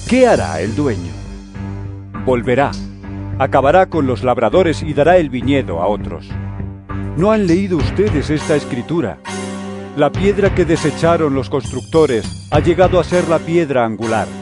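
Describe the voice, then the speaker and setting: Casting: firm holy teacher, higher-voiced and lively in inflection, solemn declaiming, dramatized Bible audio. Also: male voice, normal speed. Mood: solemn storytelling. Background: music.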